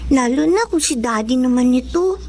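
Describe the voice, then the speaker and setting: A woman's voice: high voice, expressive, moderate pace, darkish tone. A woman acting a lonely, innocent young girl thinking aloud in a Filipino radio-style drama, with music behind her. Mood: wistful, bored. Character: lonely, innocent young daughter, a wistful child. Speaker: voice actor. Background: music under the voice.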